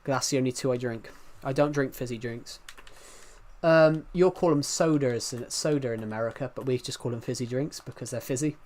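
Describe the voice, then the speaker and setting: A young man from the UK talks with a thick regional British accent at an ordinary middle pitch. He chats casually with his stream viewers and rambles from one thing to the next, often breaking off to restart what he is saying. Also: brisk, a little mellow. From United Kingdom. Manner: rambling, many restarts, chatty and candid. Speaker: live streamer. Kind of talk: casual chat with live viewers.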